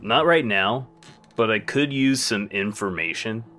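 An actor playing a brooding, mysterious businessman in a comedy radio play, grave and deliberate in clipped phrases. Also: on the low side, pushed and bright. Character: brooding, mysterious stranger, a self-styled cool businessman.

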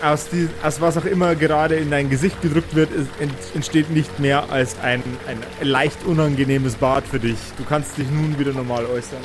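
A man runs a tabletop role-playing game in a slightly high voice. He narrates haltingly, repeating words as he improvises the scene. Music plays clearly behind him. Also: steady tone. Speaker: game master.

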